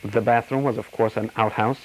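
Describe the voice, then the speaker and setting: An older man from Slovakia, recounting his life in a measured, slightly formal way. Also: on the low side, steady tone, poor audio. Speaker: interviewee. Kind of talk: personal oral-history reminiscence.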